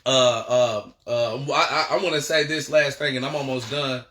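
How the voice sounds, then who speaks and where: A man's voice: medium pitch, moderate pace, a bit bright. An American man giving a political education lecture in an emphatic, rallying manner, often stumbling and repeating himself. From United States. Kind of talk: impassioned political lecture with read-out passages. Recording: roomy, echoing sound.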